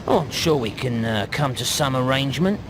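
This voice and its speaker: A man playing a cheeky, shifty rat salesman in an animated game, with oily, theatrical patter. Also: medium pitch, brisk, noisy background, natural voice. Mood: wheedling, haggling. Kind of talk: animated video game voice acting.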